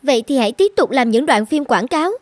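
A woman's voice: high-pitched, lively intonation, fast, dry recording, studio quality. A woman voicing a curious, eager young creative trainee in a cartoon, speaking politely and inquisitively. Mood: polite, composed. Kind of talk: dubbed cartoon dialogue.

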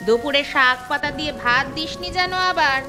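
A woman voicing a haughty, sharp-tongued elder sister in a cartoon fairy tale, accusing and scornful. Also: high-pitched, normal speed, over music, close to an everyday voice. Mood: scornful, complaining.

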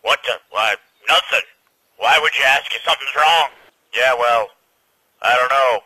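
A man's voice: mid-range voice, moderate pace, sharp tone, breathy edge, rough recording. A man voicing an irritable, sarcastic young soldier who has become a ghost, in conversational, exasperated cartoon comedy dialogue. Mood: suspicious.

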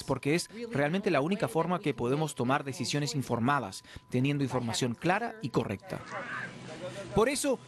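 A man working as a TV news correspondent, narrating a scripted report. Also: a little high.

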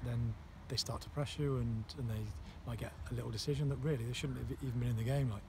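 A man, a football manager giving a post-match interview outdoors at night, halting with many fillers. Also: medium pitch, fairly even intonation. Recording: outdoor noise behind voice.

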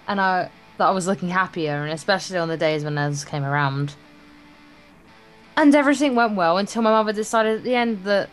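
Woman, game co-host, animated intonation, dramatic story reading, playful asides, faint music behind. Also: medium pitch, poor audio. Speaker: let's play co-host reading visual novel text aloud. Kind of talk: story read-aloud with playful banter.

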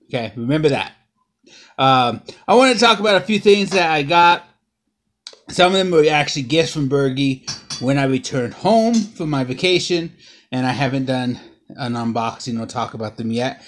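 A man in his thirties, bruised and sore after a fall, rambling through a casual haul vlog at home with plenty of ums and uhs, recorded by a close low-angle camera. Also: a bit bright. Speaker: vlogger. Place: living room at home.

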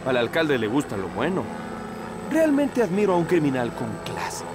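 An actor playing a cocky, suave young hero in a cartoon, breezy, teasing and self-assured. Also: male voice, fairly high pitch, huge pitch swings, moderate pace, music in the background. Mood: smug teasing. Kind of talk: animated cartoon dubbing.

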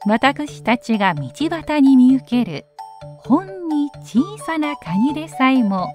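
A woman narrating a children's story in an expressive storytelling style. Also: high-pitched, slow, faint music behind.

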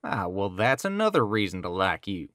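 Low-voiced plainspoken country man played by an actor. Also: very animated, moderate pace, fairly bright tone, no echo, clean studio sound. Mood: approving. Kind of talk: audio drama acting.

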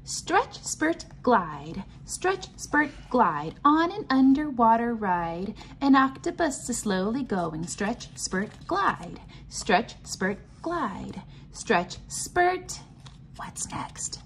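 Lively, sing-song woman reading to children. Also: on the high side, a little hiss or hum. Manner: sing-song, clearly enunciated, pausing to ask questions. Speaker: teacher reading a picture book aloud. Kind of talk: children's read-aloud and simple explanation. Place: indoors, at a table.

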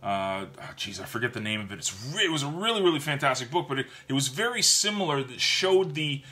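A man in his thirties giving a casual book review from his home office, animated and often repeating words while he thinks. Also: mid-range voice, fast, slight background noise. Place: home office with bookshelves, indoors. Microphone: webcam at desk.

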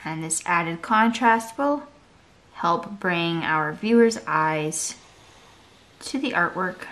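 A woman's voice: fairly low pitch, lively intonation, slow pace, a bit bright, rough recording. A woman art teacher giving a step-by-step drawing tutorial for children, in simple, encouraging instructional phrases.

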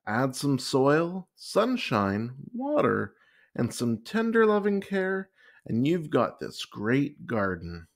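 A man voicing an eager, boastful young schoolboy in a children's storybook, excited and emphatic. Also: medium pitch, takes it slowly, vocal fry, exaggerated voice. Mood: proud.

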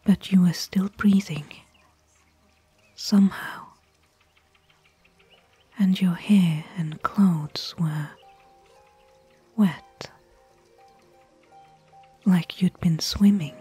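A woman playing a caring, worried fantasy expedition companion in a soft, breathy, intimate ASMR whisper. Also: on the low side, fairly even intonation, soft background music, full character voice. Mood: hushed recounting. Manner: intimate, gentle, slow and hushed. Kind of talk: soft-spoken ASMR roleplay.

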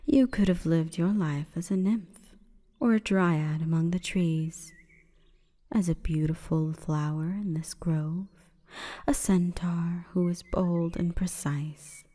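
A woman voicing an imperious, calm forest goddess in a breathy, whispering ASMR roleplay, with stately, measured delivery. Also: fairly low pitch. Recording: long reverberant echo.

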